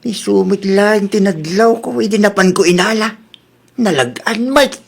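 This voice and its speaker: A man's voice: high-pitched, a little slow, gravelly, exaggerated voice. An Ilocano-accented male voice as a man acts out an older villager. He is plain-spoken, in the style of a homely comedy drama. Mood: matter-of-fact.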